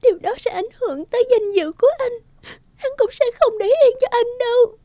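A woman voicing a meek, ill-treated young village wife, pleading and submissive, in an animated folktale. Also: very high voice, brisk, no room sound. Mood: tearful.

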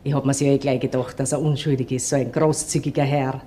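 A woman's voice: very deep voice, little pitch movement, fast, warm tone, slight background noise. A woman playing a chatty, nosy working-class landlady who gossips in colloquial Viennese dialect in a radio crime play. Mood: gossipy. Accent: Austrian, Viennese.

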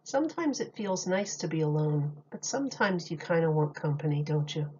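A woman reading a picture book aloud as a teacher, with simple, deliberate phrasing and questions for young listeners. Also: low voice, expressive, a little mellow, muffled recording, slight background noise. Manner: simple, deliberate phrasing addressed to small children.

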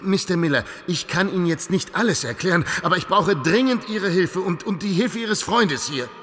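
An actor playing a courteous gentleman bridegroom, hurried and pressed for time, in a radio play. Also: sits high, animated. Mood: urgent, courteous. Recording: long church reverb.

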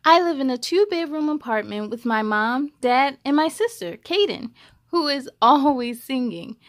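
A young American woman hosting a children's story time, reading aloud expressively with playful, animated delivery and friendly asides. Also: sits high, normal speed, no echo, studio quality. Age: young adult. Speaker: host of a children's read-aloud show.

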